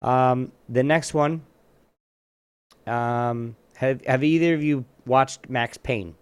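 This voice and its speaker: A man's voice: mid-range voice, slow, clear, resonant tone, dry recording. A man casually hosting a trivia round on a live show, rambling and often rephrasing himself.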